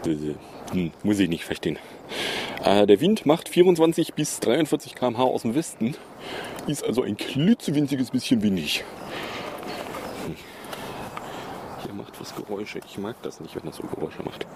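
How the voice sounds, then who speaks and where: A man's voice: fairly low pitch, big pitch swings, moderate pace, pauses often, noisy background. A man hosting a casual news podcast, rambling and sarcastic, with many fillers. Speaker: podcast host.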